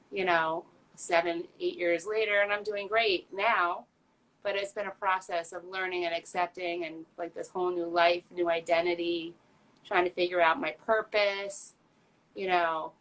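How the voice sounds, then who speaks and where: A woman's voice: lowish voice, a bit bright, rough recording. A woman in her fifties talking candidly as an interview guest, earnest and chatty, with frequent fillers and restarts. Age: 50s. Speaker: patient advocate guest.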